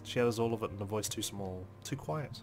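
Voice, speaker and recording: A man reading first-person game narration aloud as a let's play host, expressive, with dramatic, deliberate phrasing. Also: fairly low pitch. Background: music.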